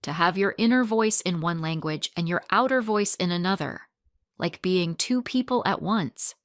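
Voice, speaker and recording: Woman doing a gentle curious lawyer guy, lowish voice. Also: normal speed, no room sound, studio quality, lightly acted. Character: curious, kind multilingual man, a lawyer.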